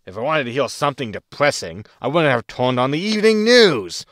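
A man's voice: mid-range voice, sing-song, fairly quick, a bit bright. A man playing a wry, exasperated spaceship captain in a sci-fi comedy audio drama, sarcastic and grumbling as he gives orders.

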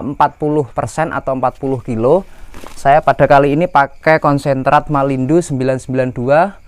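A man's voice: medium pitch, no echo. A man from Indonesia giving an informal step-by-step farming tutorial as the host, explaining things in a somewhat hesitant way and addressing viewers directly. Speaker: livestock farmer and channel host.

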